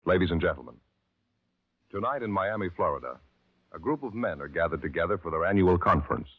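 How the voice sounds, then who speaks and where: A male radio announcer with a grave, measured, emphatic formal delivery from a 1950s broadcast. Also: low-pitched, animated, slightly rough. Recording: muffled old radio broadcast with music behind.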